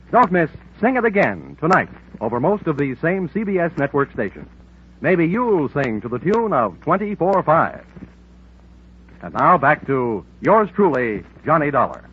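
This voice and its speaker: A man's voice: a little high, sing-song, normal speed. A male network announcer delivering a 1950s radio promo with polished, showman-like salesmanship. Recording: muffled vintage radio recording, faint noise.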